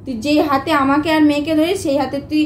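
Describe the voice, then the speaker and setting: A woman's voice: sits high, fairly even intonation, fast talker. A young woman in a heated domestic argument, scolding in an agitated, repetitive way. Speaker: wife in a family prank video. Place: indoor room at home. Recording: echoey room, faint background noise.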